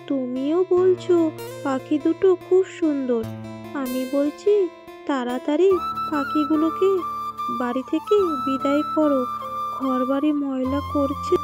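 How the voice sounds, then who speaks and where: A woman's voice: high-pitched, takes it slowly, long pauses, voice pushed down. A woman voicing a grumbling, house-proud mother in a children's cartoon, complaining over music in a dull recording. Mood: irritated complaint. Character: grumbling house-proud mother who wants the birds gone. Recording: dull sound, music behind.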